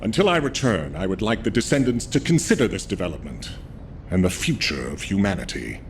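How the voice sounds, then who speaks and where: An actor playing a grave, burdened military commander in a video game, speaking in a measured, solemn, authoritative way. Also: male voice, low voice, very animated, a little hiss or hum. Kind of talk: scripted video game dialogue.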